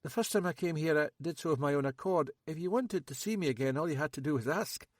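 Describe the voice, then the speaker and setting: A man voicing a jittery, battered young man who talks fast and rambles anxiously, with a Scottish accent. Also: fairly high pitch, no echo, studio quality, voice pushed up, lightly acted. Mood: rattled. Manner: excitable, rambling, self-pitying.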